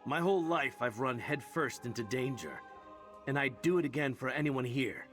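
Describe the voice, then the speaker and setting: An actor playing a troubled, self-sacrificing adventurer in a fantasy video game, wry and rueful. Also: male voice, mid-range voice, expressive, normal speed, a bit bright, faint music behind, natural voice. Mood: rueful, resolved.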